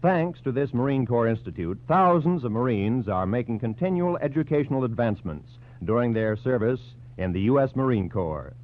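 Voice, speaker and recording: A man's voice: mid-range voice, lively intonation, moderate pace, hardly any pauses. A man with an American accent doing polished, formal scripted announcing in the style of 1940s radio. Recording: dull 1940s radio recording with music. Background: music.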